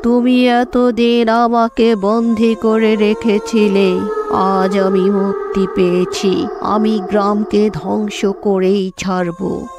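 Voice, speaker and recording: Woman acting a slow, gloating wicked witch. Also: mid-range voice, level tone, over music. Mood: gloating, menacing.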